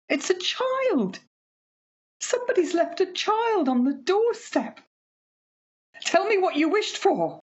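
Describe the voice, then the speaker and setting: A woman playing a distraught, obsessively hopeful older mother in a radio horror play, pleading and emotional. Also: full character voice. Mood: distraught, tearful. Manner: pleading, tearful, highly emotional. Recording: slightly dull home recording.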